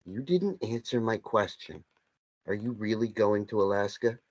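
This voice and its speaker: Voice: medium pitch, normal speed, natural voice. A reader playing a teasing ex-boyfriend who won't leave in a table read of a stage play. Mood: needling. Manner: needling, persistent. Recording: dull lo-fi recording.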